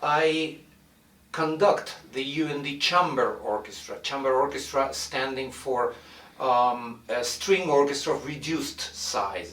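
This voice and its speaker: A middle-aged man from Argentina, a violin professor introducing his studio in a measured way, with many "uh" pauses as he searches for words. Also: mid-range voice, a bit bright, distant mic. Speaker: violin professor and orchestra director. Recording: roomy echo, mic set back.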